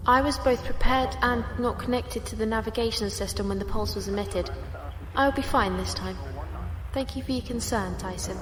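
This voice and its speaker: A woman's voice: fairly high pitch, moderate pace, a crowd in the background, lightly acted. Echoing, precise starship crewwoman played by a woman. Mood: calm, formal. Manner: formal, precise, stilted wording. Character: precise, emotionless female starship crew member. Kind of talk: science fiction audio drama acting. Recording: long echoing reverb, music behind.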